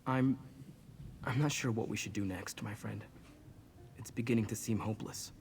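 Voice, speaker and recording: A man's voice: on the low side, normal speed, darkish tone, a little hiss or hum, lightly acted. An actor playing an earnest, apologetic young man burdened with guilt in a video game. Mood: despairing.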